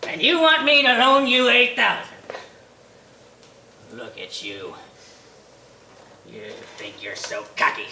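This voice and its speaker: A woman voicing a cold, gloating rich old man who mocks slowly and drags out his words. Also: on the high side, bright, forceful tone, reverberant, a little hiss or hum, exaggerated voice, poor audio. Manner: slow, mocking, drawn-out delivery.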